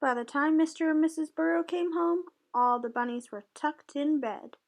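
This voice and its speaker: A woman tutor reading a storybook aloud to young children in an expressive way. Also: high-pitched, normal speed. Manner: expressive, voices the characters' dialogue.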